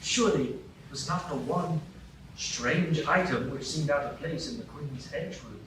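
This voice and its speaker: A man narrating a live radio play in a measured storytelling manner. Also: a little high, a bit bright. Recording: echoey live stage from far off, with noise.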